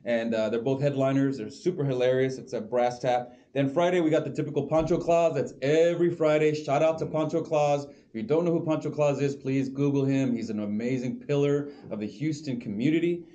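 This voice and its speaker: An upbeat man who co-hosts a podcast and keeps up easy, promotional chatter about comedy shows. His voice sits at an ordinary middle pitch. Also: fairly even intonation, fairly quick, hardly any pauses, dark tone, muffled recording. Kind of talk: casual podcast chat.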